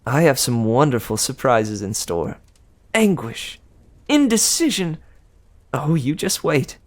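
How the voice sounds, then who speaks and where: A man's voice: mid-range voice, big pitch swings, slow. A man voicing a vain, doting goddess of love who sighs and talks breathily. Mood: swooning. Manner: breathy gushing, sighing.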